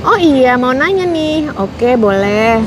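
A woman's voice: high voice, animated, slow pace. Chatty Indonesian woman vlogging outdoors. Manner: chatty, answers viewer comments with many fillers. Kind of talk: casual chat to viewers, reading comments. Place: outdoors on a city street. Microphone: clip-on lapel mic. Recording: outdoor street noise behind.

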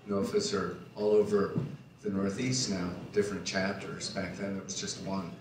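A man's voice: sits low, little pitch movement, normal speed, far from the mic, background noise. Earnest man speaking in echoey barn. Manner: earnest, reflective, with restarts. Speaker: panelist, organic farmer. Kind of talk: panel discussion remarks. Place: large wooden barn hall, indoors. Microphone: handheld mic, far camera.

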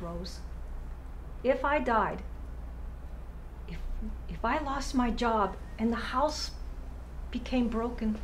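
Woman acting anxious, dutiful sister, high-voiced, noisy room. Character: anxious, dutiful, righteous Irish schoolteacher sister keeping house. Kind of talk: dramatic stage monologue.

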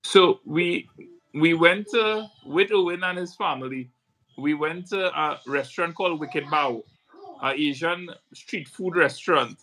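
Bright, chatty middle-aged man. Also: on the high side, unhurried, low-quality recording. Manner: chatty, repeats words, teasing. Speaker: co-host. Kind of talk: casual family chat on a live stream. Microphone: video call.

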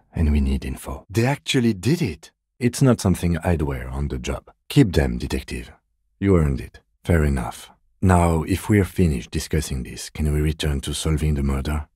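An actor playing a calm, dutiful middle-aged police detective in a video game, with a measured, deadpan, politely formal delivery. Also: male voice, very deep voice, slightly rough, no room sound, clean studio sound, heavily acted. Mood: wry, lifted. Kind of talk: scripted game character dialogue.

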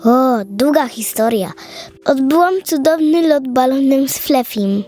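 A woman's voice: high-pitched, animated, a little slow, dark tone. A woman playing a polite, earnest little girl who pleads sweetly in a children's audio play. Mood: eager, hopeful. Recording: music under the voice.

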